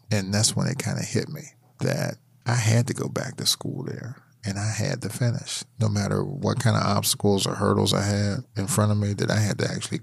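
A middle-aged man from Pittsburgh, telling his life story in a measured, thoughtful interview. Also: fairly low pitch, dry recording, studio quality. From Pittsburgh, USA. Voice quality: gravelly. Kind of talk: reflective oral history interview.

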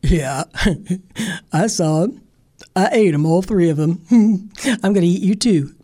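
A woman voicing a huge, greedy male bear in a told folktale, gruff and smug. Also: on the low side, slow pace, mellow.

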